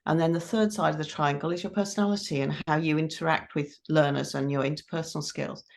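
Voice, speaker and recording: A woman's voice: sits low, fairly even intonation, fast talker, creaky. A middle-aged woman answering interview questions in a considered, explanatory way, repeating points for emphasis. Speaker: interview guest, teacher trainer.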